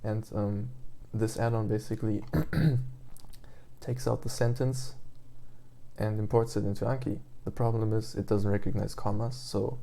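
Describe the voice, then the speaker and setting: A man's voice: lowish voice, level tone, measured pace, vocal fry, mellow, a little hiss or hum. A man in his twenties with a German accent, just out of bed, rambling casually about his language study with lots of fillers and restarts. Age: 20s. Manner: meandering, hesitant, many fillers and restarts. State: just woke up. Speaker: language learner vlogger.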